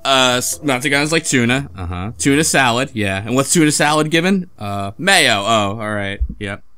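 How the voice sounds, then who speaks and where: A man's voice: mid-range voice, animated, normal speed, pushed and bright, clean tone. A man hosting a casual book club chat, recapping a story and acting out imagined dialogue as he goes. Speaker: podcast host.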